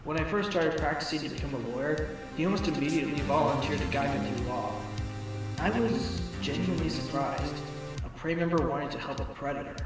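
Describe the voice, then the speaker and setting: A man's voice: fairly high pitch, a little fast, lightly acted, rough recording. A man playing a sly, laid-back fox, an ex-con turned rookie lawyer, in an amateur audio drama, with a wry, casual, teasing delivery. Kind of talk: amateur audio drama with first-person narration. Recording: music bed under the voice.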